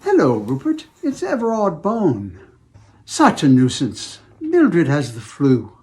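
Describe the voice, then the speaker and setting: A woman acts out a brisk male academic in an audio drama of a novel. The delivery is matter-of-fact but extremely varied in pitch, on a slightly low female voice. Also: huge pitch swings, warm tone, full character voice. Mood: brisk, apologetic. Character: brisk male academic colleague phoning apologies.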